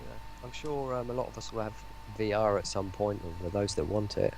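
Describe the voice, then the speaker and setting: A man's voice: on the low side, fairly quick, creaky, a little mellow, slight background noise, rough recording. A man chatting casually as a guest on a gaming stream. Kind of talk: casual chat.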